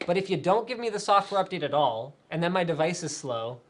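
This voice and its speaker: A talkative man hosting a live tech stream, reading out viewer questions and riffing on them. He speaks casually with plenty of fillers and restarts, energetic and opinionated. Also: high-pitched, fast. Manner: energetic, chatty, many fillers. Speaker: tech show host.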